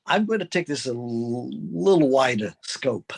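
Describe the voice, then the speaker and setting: An older man from Portugal offers his thoughts as a panelist. His voice is hoarse and rough around a medium pitch. He talks deliberately and reflectively, without a script. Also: animated. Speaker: panelist, retired Portuguese teacher. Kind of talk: unscripted panel talk.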